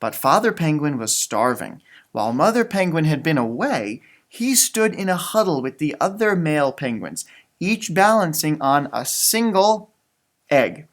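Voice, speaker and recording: A man reading a children's picture book aloud in an expressive, teacherly way, with casual chatty asides. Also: fairly high pitch, unhurried. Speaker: storybook reader, elementary school teacher.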